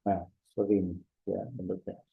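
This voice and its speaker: A man's voice: low-pitched, flat delivery, brisk, vocal fry, phone-quality sound. A man giving an informal step-by-step demonstration as a lecturer, repeating himself with short checking tags, with a slight cough. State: bit of a cough, clearing throat. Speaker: lecturer teaching a software diagram class. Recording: echoey room sound.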